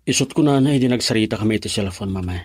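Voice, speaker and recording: An earnest young Filipino man in a radio drama, lovelorn and respectful. His voice sits low and is a little rough, in a dry recording. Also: racing, darkish tone, dry recording. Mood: earnest, pleading. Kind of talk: Ilocano radio drama acting.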